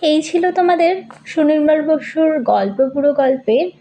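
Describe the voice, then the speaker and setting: A woman with a high-pitched voice teaches a school lesson. She reads each question and its answer in an instructional manner, speaking directly to her pupils. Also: lively intonation, moderate pace, mellow.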